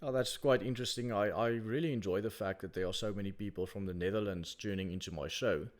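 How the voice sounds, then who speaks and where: A man from South Africa hosting a call-in show, talking in a measured way and often restarting words. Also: fairly low pitch. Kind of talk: casual call-in chat.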